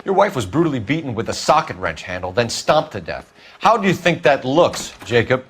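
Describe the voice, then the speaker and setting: Young 1940s American detective, stern, driven, clipped delivery, middle pitch, for a crime game. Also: male voice, sing-song, fairly quick, slightly rough. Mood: pressing. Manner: clipped, authoritative. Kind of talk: video game crime drama acting.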